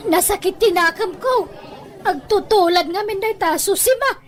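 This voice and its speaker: A woman's voice: very high voice, normal speed, faint music behind, some chatter behind. A woman with an Ilocano Filipino accent acting an aggrieved family member in an amateur audio drama. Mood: indignant.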